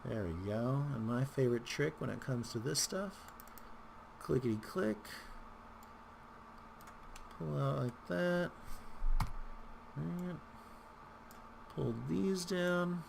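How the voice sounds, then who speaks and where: A man hosting a casual software tutorial, talking in a rambling, informal way with wry asides. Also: a little high, slow pace, gravelly, pauses often, noisy background. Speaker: tutorial host.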